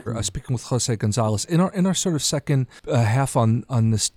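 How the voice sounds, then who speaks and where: A middle-aged man hosting a podcast interview, animated and joking, thinking aloud with frequent restarts. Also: mid-range voice, fairly quick, no echo. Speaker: podcast host.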